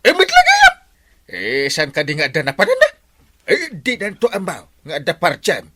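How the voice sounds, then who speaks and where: Hard-drinking village captain, Ilocano accent, forceful bright voice, cackling, very animated, comedic radio drama. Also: male voice, sits high, normal speed, heavily acted. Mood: playful, scheming. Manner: stammering, exclamatory, cackling.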